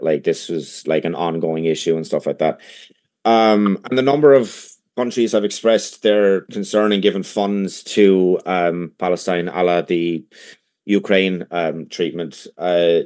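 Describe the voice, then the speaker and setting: An Irish man giving a debate opening statement, halting with many fillers but impassioned. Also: low-pitched, darkish tone. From Ireland. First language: English.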